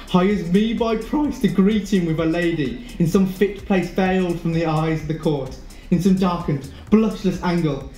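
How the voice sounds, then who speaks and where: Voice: medium pitch, warm tone, close to an everyday voice. An actor playing a gleeful, scheming revenger in disguise, speaking Jacobean verse in an audio drama. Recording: echoey room with music underneath.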